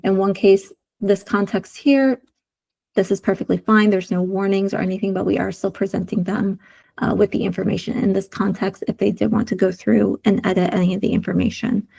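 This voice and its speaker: A woman's voice: mid-range voice, fairly even intonation, fairly quick, gravelly, warm tone, husky. A woman with a sore throat presenting design prototypes in a video call meeting, explaining each screen step by step with frequent fillers. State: sore throat, losing her voice. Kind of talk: community meeting screen-share walkthrough.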